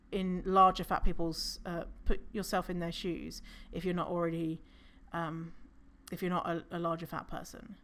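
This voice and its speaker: A non-binary podcast host with a low voice, talking in a casual, rambling solo monologue with fillers, self-corrections and casual swearing. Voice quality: low voice for a woman's range.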